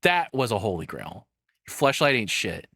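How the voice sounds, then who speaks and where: A man's voice: fairly low pitch, very animated, unhurried, creaky, fairly bright tone, no echo, clean studio sound. A man doing casual live reaction commentary as a streamer, rambling and swearing in an informal way.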